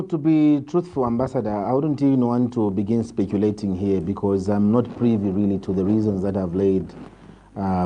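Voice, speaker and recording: A man with a Zambian accent answering political interview questions in a measured, formal, emphatic way. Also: deep voice, dark tone, slight background noise, poor audio. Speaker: political interviewee, party leader.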